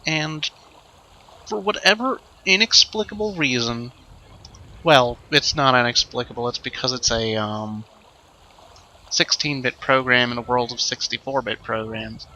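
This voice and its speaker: A man hosting a let's play with casual, improvised commentary, rambling and thinking out loud as he goes. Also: mid-range voice, a little slow, low-quality recording.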